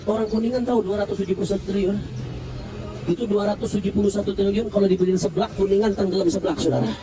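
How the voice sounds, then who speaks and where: A man with a very high, strained voice preaches at a rally and rattles along at a fast clip. He shouts and challenges the crowd to answer back. He sits well off the mic, with music playing clearly behind him. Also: recorded from a distance, low-quality recording. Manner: shouting, call-and-response with crowd. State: recovering from flu. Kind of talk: fiery open-air sermon.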